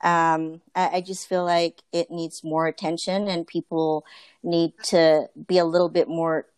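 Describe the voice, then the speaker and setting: A woman's voice: fairly low pitch, level tone, measured pace, a little mellow, dry recording. A woman in a casual group conversation, talking earnestly with many fillers and restarts. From Filipino family.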